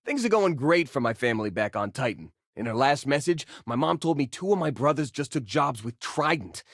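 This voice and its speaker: Lively, wisecracking working-class space miner. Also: male voice, medium pitch, a little fast, no echo, clean studio sound. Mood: frank, reflective. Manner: casual, joking, folksy. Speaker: video game voice actor. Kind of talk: video game companion voice lines.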